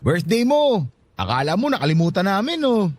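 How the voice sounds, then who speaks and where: A fairly high, lively voice of a younger Filipino husband. He is respectful, fond and gently teasing, in radio drama style over faint music. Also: moderate pace. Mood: playful. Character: affectionate, grateful younger married man, playfully teasing.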